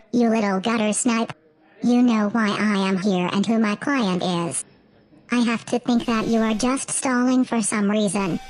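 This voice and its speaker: A woman's voice: fairly high pitch, darkish tone, lightly acted, rough recording. A woman playing a sardonic, easily exasperated cyborg lawyer in a sci-fi audio drama, politely menacing.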